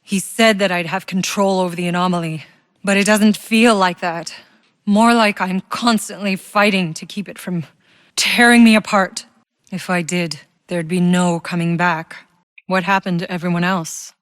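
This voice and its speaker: An actress playing a tough, sardonic, battle-hardened soldier, the protagonist of a video game, with a blunt, wry delivery. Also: female voice, fairly low pitch, steady tone, normal speed, no room sound, studio quality, close to an everyday voice. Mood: serious, probing. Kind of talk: video game character voice lines.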